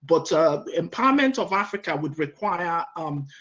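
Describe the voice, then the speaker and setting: A woman's voice: fairly low pitch, moderate pace, highs cut off. A middle-aged woman, answering on a panel with energy, listing her points and checking in with "right?". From Nigeria. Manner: energetic, lists points, keeps adding "right?".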